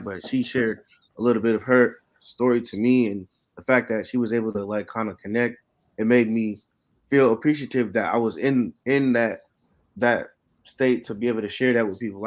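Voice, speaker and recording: A man in his late twenties, an artist, talking casually and haltingly on a panel with lots of "you know". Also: mid-range voice. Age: late 20s. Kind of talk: casual unscripted artist talk.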